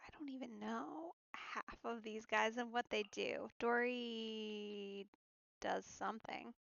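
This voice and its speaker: A woman giving casual commentary as she plays a video game on stream, thinking aloud haltingly with murmured asides. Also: fairly high pitch, gravelly, no room sound. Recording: muffled lo-fi audio, faint game music.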